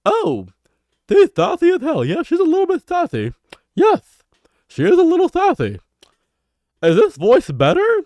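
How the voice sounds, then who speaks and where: A man doing a high-pitched joke voice, nasal and playful, during a live stream chat. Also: wildly swooping pitch, measured pace, long pauses, darkish tone, no room sound, heavily acted.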